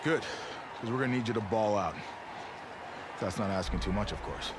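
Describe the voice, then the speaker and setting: A man's voice: on the low side, normal speed, heavy background noise, close to an everyday voice. A man playing a middle-aged football coach in a video game cutscene, firm and reassuring. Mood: motivating. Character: firm, steady male high-school football coach.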